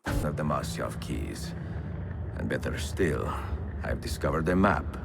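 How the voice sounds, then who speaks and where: Aging Italian assassin, very deep and gravelly. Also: male voice, lively intonation, loud music behind the voice, heavily acted. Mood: reflective. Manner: measured, formal, deliberate. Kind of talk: dramatic video game acting. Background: orchestral score.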